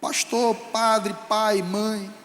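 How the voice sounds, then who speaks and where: A male pastor with a Brazilian Portuguese accent preaching a fervent sermon that rises to shouts. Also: sits high, level tone, drawn-out words. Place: church hall. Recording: echoey hall with long reverb.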